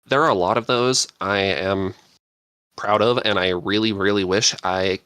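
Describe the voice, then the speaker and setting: Slow, low-voiced rambling man. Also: steady tone, bright, forceful tone, clear, resonant tone, dry recording. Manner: rambling, searching for words. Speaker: podcast panelist and scriptwriter. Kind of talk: casual chat.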